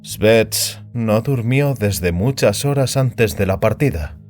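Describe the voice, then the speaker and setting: A male narrator with a fairly low voice reads audio fiction in a scripted, dramatic style. Soft music sits behind the narration. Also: expressive, slow.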